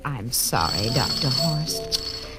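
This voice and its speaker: A woman's voice: a bass voice, expressive, slow pace, over loud music, exaggerated voice. An actress playing a doting, fussing old mother in a 1950s radio drama. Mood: motherly.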